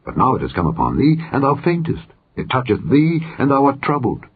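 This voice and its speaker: A man's voice: mid-range voice, wildly swooping pitch, fairly quick, a little hiss or hum, voice pushed up. A man reading the part of a stern friend who reproves a sufferer in a formal, admonishing, rhetorical way. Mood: reproving. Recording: tinny, phone-like narrow-band recording.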